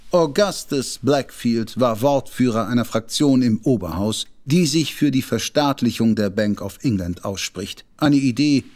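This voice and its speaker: A knowledgeable gentleman. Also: medium pitch.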